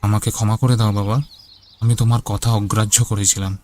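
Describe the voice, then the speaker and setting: A man's voice: fairly low pitch, level tone, fairly quick, darkish tone, lightly acted. A man playing an irritable, hard-hearted grown son in a cartoon, curt and defiant. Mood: remorseful. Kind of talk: cartoon voice acting.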